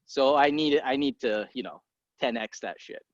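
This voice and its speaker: A man in his thirties from Egypt, a podcast guest talking casually and bluntly, swearing freely and tossing off quick asides. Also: mid-range voice, dry recording. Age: 30s. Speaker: guest, entrepreneur. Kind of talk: casual interview talk. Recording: dry muffled podcast audio.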